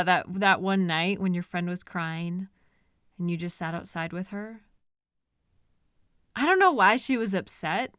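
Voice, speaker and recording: A woman playing a possessive, sweetly menacing stalker in an intimate roleplay audio drama, coaxing softly with lingering pauses. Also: fairly high pitch, dry recording, studio quality. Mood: adoring.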